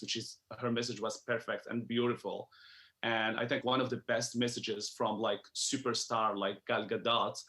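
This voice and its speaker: Guy in his 40s, bright voice, chatty, lots of uhs and restarts. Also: mid-range voice. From Morocco. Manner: chatty, many fillers and restarts. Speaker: entertainment reporter, guest. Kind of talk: interview answers.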